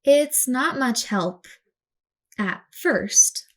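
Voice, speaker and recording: A woman reads a picture book for children in a highish, very lively voice. She is dramatic and expressive, acting out words as she goes. Her delivery is slow and deliberate, made for young listeners. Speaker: children's storyteller.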